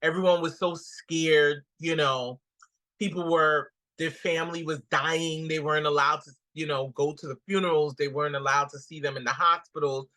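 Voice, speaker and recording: A woman's voice: deep voice, normal speed. A woman with a Guyanese accent, a comedian guest telling stories in a casual interview and acting out the quotes. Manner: animated storyteller, acts out quotes.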